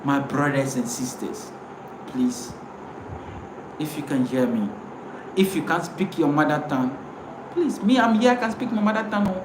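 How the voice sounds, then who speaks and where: A woman's voice: low voice, expressive, moderate pace, pauses often. A woman from Ghana with a Ghanaian accent, giving an impassioned live talk as host, emphatic and preachy, often repeating words. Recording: roomy with faint noise.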